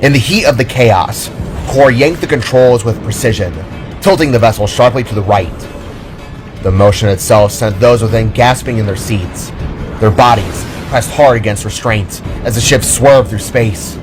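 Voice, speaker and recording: A man's voice: mid-range voice, normal speed, music in the background. A man narrating a scripted audio drama in a dramatic storytelling manner.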